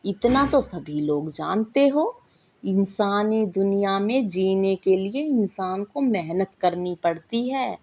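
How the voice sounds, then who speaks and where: A woman's voice: mid-range voice, animated, takes it slowly, muffled recording. A woman voicing a strict, commanding male magic teacher who lectures and scolds in a bedtime story. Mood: stern.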